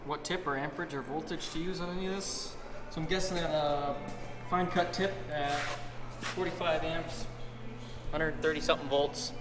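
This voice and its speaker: A man's voice: fairly high pitch, steady tone, moderate pace, over music. A man casually narrating a shop project in an informal, self-deprecating way. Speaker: metal shop worker and vlog host. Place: metal fabrication shop and its office. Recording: distant mic, loud workshop noise, clatter.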